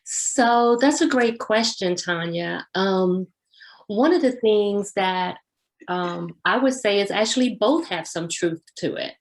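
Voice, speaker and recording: Slow, thoughtful woman answering as guest. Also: mid-range voice, animated, poor audio. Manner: thoughtful, checks in with the listener. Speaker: guest speaker, life coach and author. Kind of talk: reflective interview answer. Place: on a video call.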